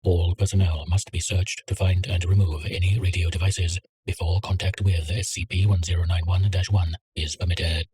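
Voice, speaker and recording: A man reading a containment document aloud in a measured, clinical way. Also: low voice, flat delivery, dark tone, slightly rough. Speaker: narrator. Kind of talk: scripted reading of a containment file.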